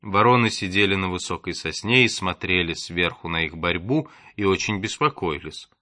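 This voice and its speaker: Male audiobook narrator, expressive storybook reading, crisp forward brightness, varied dramatic stresses, very dry recording. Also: sits low, normal speed, dry recording.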